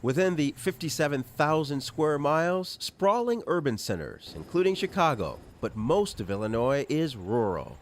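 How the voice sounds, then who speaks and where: A man's voice: on the high side, sing-song, normal speed, few pauses. A man working as a political television reporter, delivering scripted news narration.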